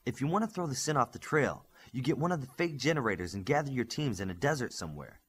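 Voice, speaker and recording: A man's voice: medium pitch, animated, quick pace, a bit bright, slightly rough. A man voicing a defiant, determined young superhero team leader in an audiobook, speaking firmly and without flinching. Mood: urgent advice. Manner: firm, unflinching.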